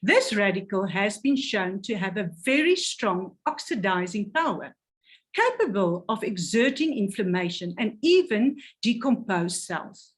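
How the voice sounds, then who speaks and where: Slow, lively-pitched woman presenting. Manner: careful, stumbles over technical words. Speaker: product presenter. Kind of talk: reading a health product presentation.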